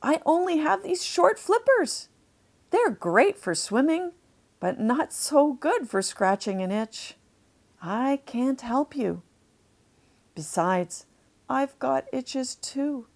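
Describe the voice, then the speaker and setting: A woman's voice: high-pitched, big pitch swings, takes it slowly, darkish tone, exaggerated voice. A woman voicing a friendly, lumpy manatee in a children's storybook, apologetic and matter-of-fact.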